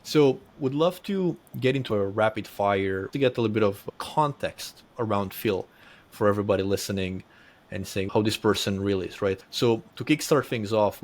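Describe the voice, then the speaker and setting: A man hosting a podcast, affirming his guest and asking long, polished framing questions. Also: fairly low pitch. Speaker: podcast host. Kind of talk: interview host.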